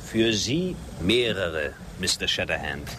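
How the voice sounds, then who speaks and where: An actor playing a wistful former poet and cabaret singer in a German radio drama, measured, reflective and gently ironic. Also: male voice, deep voice, expressive, a little hiss or hum, full character voice. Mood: courteous.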